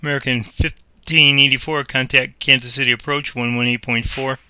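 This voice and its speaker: A man's voice: medium pitch, steady tone, moderate pace, sharp tone, clean tone, dry recording, poor audio. A man playing an air traffic controller, mixing radio phraseology with casual commentary on his game.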